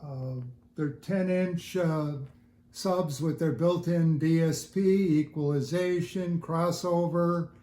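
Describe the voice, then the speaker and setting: An older man chatting casually in an interview, explaining things in a halting, thoughtful way. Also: fairly high pitch, steady tone, mellow. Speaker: interview guest, audiophile hobbyist. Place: indoors at home listening room. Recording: roomy, echoey home recording.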